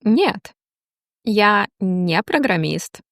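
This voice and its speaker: A woman teaching a language, reading slow, deliberate question-and-answer drills with long pauses. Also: medium pitch, animated, dry recording, studio quality.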